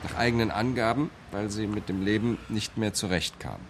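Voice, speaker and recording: An actor playing a composed, matter-of-fact police captain in a radio crime drama, giving an orderly briefing. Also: male voice, fairly low pitch, normal speed, a little hiss or hum, lightly acted. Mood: factual briefing.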